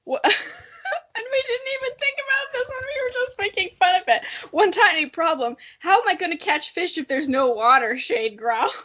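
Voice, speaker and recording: A woman's voice: very high-pitched, expressive, moderate pace, a bit bright. A young woman reading a story dramatically and chatting casually about it, with a giggly, playful, self-mocking manner. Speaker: podcast co-host. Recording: dull lo-fi audio.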